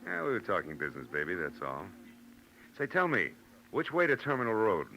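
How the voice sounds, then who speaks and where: An American actor playing a tough, wisecracking, world-weary private detective in a 1940s radio drama, clipped and dry. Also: male voice, on the low side, huge pitch swings, a little fast, sharp tone, full character voice, rough recording. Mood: flirtatious, wry. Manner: clipped, dry, hard-boiled. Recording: old radio recording, faint noise.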